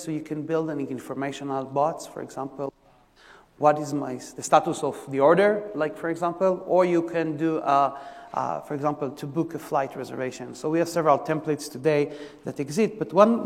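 A man from Israel with an Israeli accent, giving a conference tech talk in a halting way, full of fillers and restarts. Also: fairly high pitch. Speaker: technical presenter. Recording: long reverb of a lecture hall.

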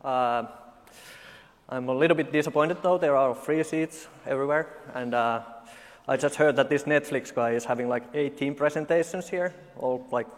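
A man from Finland in his forties with a Finnish accent, giving a conference talk full of dry, deadpan jokes and halting fillers. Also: medium pitch. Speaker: conference speaker. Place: large conference hall. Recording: long hall reverb.

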